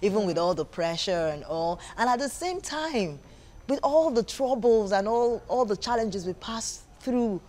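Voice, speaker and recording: A woman's voice: mid-range voice, expressive, a little slow, a little mellow. A woman with a Nigerian accent speaking as a guest in a conversational interview, earnest and repeating phrases for emphasis. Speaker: interview guest, stage play director.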